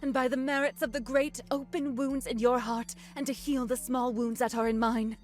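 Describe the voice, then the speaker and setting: A woman's voice: on the high side, fairly even intonation, normal speed, faint music behind, close to an everyday voice. A woman playing a stern, judgemental, pious nun in a game, with a fervent, severe manner. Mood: fervently praying. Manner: sharp commands mixed with fervent prayer. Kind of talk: visual novel voice acting.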